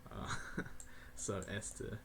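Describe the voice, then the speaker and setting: An eighteen-year-old man rambling casually through a game tier list, laughing often and filling pauses with ums and uhs. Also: fairly bright tone, slight background noise. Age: young adult (18). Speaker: gaming video creator.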